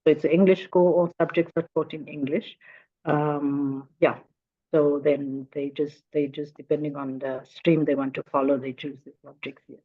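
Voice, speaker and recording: A woman's voice: sits low, level tone, normal speed. A South African woman in her fifties, an Afrikaans native speaker and teacher, talking in a casual interview and often repeating words as she searches for phrasing. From Northern Cape, South Africa. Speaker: guest, teacher. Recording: dull lo-fi audio.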